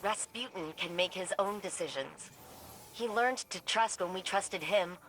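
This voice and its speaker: A woman's voice: mid-range voice, measured pace, noisy background, natural voice. A woman playing a determined, principled commander. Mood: resolute. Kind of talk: scripted video game dialogue.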